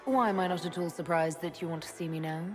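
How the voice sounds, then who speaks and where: Female elf mage leader played by a woman for a fantasy game: lowish, direct, dryly witty, music behind. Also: fast talker, close to an everyday voice. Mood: dry, wry. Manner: direct, dry, questioning. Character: composed, dry-witted female elf mage inquisitor. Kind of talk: video game dialogue.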